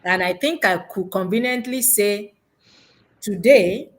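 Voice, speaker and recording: A woman's voice: medium pitch, unhurried. A woman giving unscripted, conversational interview answers as an education consultant, reflective and rephrasing her points for emphasis.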